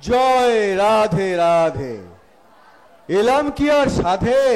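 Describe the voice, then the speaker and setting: Comic audio play: male actor as meek, pious, stingy elderly husband, hugely animated, high voice, music behind. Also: heavily acted. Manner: sanctimonious, drawn-out devotional phrases. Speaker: amateur actor, schoolteacher. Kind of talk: staged comic Bengali audio drama.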